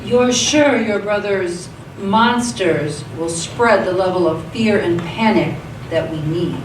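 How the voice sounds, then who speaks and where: A woman's voice: medium pitch, slow pace, natural voice. A woman playing a power-hungry, self-assured council leader plotting in a live radio play. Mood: measured, calculating. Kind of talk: live radio theatre acting. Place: theatre stage. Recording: echoey stage, distant mic, faint noise.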